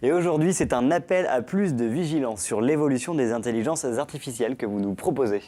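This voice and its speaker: A man from France hosting a radio segment, brisk and chatty with quick interjections. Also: mid-range voice, warm tone. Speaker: radio host.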